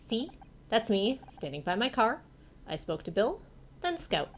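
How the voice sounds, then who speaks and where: A woman's voice: fairly high pitch, very animated, a little slow, gravelly, a little hiss or hum, exaggerated voice. A woman voicing a confident, wry actress turned amateur sleuth in a video game. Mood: determined.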